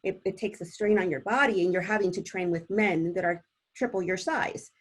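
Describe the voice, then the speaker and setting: A woman's voice: lowish voice, a little fast. A woman from El Salvador, a native Spanish speaker, talking informally as a panelist and sharing personal experience. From born in El Salvador.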